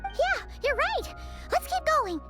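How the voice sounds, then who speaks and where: A woman voicing a small, cheerful fairy companion in a video game, with a very high, squeaky voice and game music under it. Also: very animated, slow, heavily acted. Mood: eager.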